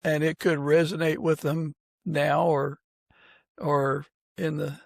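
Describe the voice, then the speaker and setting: A man hosting a casual interview, speaking in a halting, agreeable and affirming way. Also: a little high, little pitch movement, vocal fry, no room sound, clean studio sound. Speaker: podcast host.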